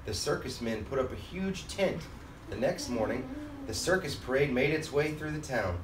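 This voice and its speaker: Roomy recording of a dad reading aloud. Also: male voice, medium pitch, normal speed. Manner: expressive, acts out character lines. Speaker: father reading storybook, host. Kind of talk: children's storybook read-aloud. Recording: roomy, distant mic, faint background noise.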